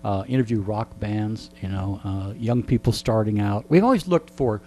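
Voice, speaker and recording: A man's voice: lowish voice, normal speed, darkish tone, faint music behind. A middle-aged man, a veteran radio host, chatting and telling stories in a rambling, dryly joking way as he is interviewed. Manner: joking, rambling, dry humour. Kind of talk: casual storytelling interview chat.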